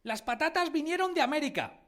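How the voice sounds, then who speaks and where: A man's voice: very high voice, lively intonation, a little fast, fairly bright tone. A man playing a curious, innocent, eager schoolboy in a radio drama. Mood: earnest.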